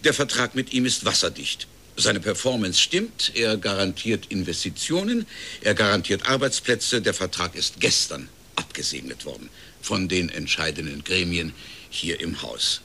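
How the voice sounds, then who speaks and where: A man's voice: low-pitched, sing-song, normal speed, vocal fry, sharp tone, slightly rough, exaggerated voice. A man playing a brusque, self-important agency executive in a radio crime drama, officious and clipped. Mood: busy, dismissive. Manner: officious, clipped, hesitating with polite excuses.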